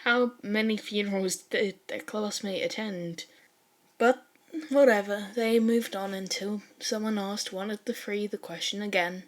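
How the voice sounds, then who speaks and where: A woman reading fan fiction as an audiobook-style narrator, with a casual, wry, expressive storytelling manner. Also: mid-range voice, a little slow, rough recording.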